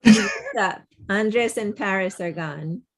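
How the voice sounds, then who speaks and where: A woman's voice: medium pitch, animated, slow pace, slight background noise. A woman narrating the stage directions of a play at a table read held over a video call.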